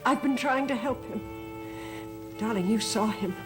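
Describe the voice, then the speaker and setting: Woman playing distraught gothic soap heroine, high voice, slow halting speech, guilt-ridden, pleading, music behind. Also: close to an everyday voice. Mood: anguished. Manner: slow, dramatic, halting delivery. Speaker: soap opera actress. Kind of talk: gothic soap opera acting.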